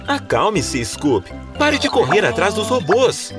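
Animated male children's cartoon narrator. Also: high voice, a little slow, music in the background. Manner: instructive, exclamatory.

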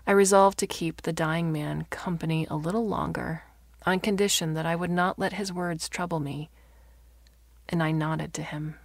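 Female fiction narrator, lowish voice, expressive, often breathy, dry studio-like sound. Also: moderate pace, no room sound. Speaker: story narrator. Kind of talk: dramatic audiobook narration of fiction.

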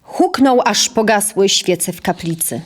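A woman serves as narrator of an audio drama. Her pitch is middling. She reads scripted prose in a measured, literary storytelling style. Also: animated.

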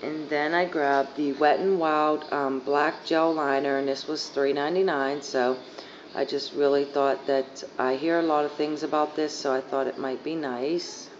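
Hoarse woman chatting through a haul. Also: sits low, fairly even intonation, unhurried. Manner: rambling, lots of fillers. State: hoarse, losing her voice. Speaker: beauty vlogger. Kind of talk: casual haul chat. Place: living room couch by a sunny window. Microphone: phone camera at arm's length. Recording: dull lo-fi phone audio, faint hiss.

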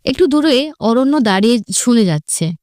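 High-voiced woman narrating a romantic drama. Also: lively intonation, moderate pace, darkish tone, no echo. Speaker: story narrator.

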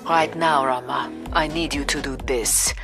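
Bossy cool lady commander in a video game, really really deep voice, talks down to you, music behind. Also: steady tone. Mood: cool, firm. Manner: measured, condescending, firm. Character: commanding, cool, imperious female agency boss.

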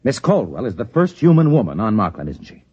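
An actor playing a shrewd, knowing trading-post man who is quietly in charge, in 1950s radio drama style. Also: fairly low pitch, big pitch swings, quick pace, slightly rough, dry recording. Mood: conspiratorial. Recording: dull, dry vintage radio recording.

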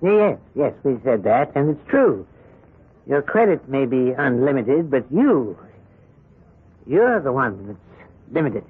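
An actor playing a smug, mock-courteous, husky-voiced crooked credit boss in a 1970s radio drama. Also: male voice, medium pitch, very animated, slow, long pauses, exaggerated voice. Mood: smug, mock-polite. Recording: thin lo-fi vintage radio recording.

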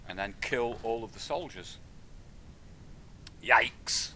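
A man's voice: mid-range voice, slow pace, noisy background. A middle-aged man giving casual, rambling, opinionated gameplay commentary on a live stream. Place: indoor streaming setup. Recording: game audio and controller clicks behind. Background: game sound, clicks.

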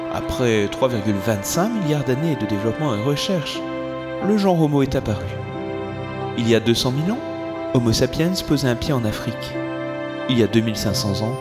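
A solemn male narrator voiced by an actor tells cosmic history with portentous weight. His medium-pitched voice sits over clear music, in a scripted radio drama style. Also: natural voice.

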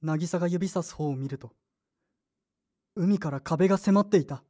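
A woman's voice: on the low side, moderate pace, mellow, close to an everyday voice. A woman playing a brusque, moody teenage boy in an audio drama, with blunt, casual boyish speech. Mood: somber. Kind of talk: Japanese audio drama acting with inner narration.

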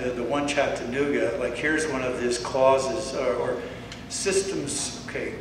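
An older man giving a presentation to a community meeting, reading excerpts from documents with halting restarts into a handheld mic. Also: medium pitch. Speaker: speaker. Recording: echoey hall, far mic, noise.

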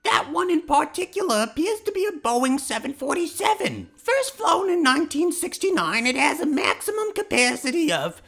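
A woman's voice: high-pitched, big pitch swings, normal speed, few pauses, a bit bright, soft background music, heavily acted. A woman voicing a know-it-all, easily exasperated hairless cat in a comic dub, pedantic and sarcastic. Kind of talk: comic dub character acting.

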